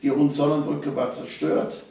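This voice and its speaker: Echoey, creaky older man reminiscing. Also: fairly high pitch, level tone, normal speed, dark tone. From Cologne, Germany. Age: 70s. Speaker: interview guest, storyteller of local history. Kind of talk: conversational eyewitness storytelling. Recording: echoey room, distant microphone.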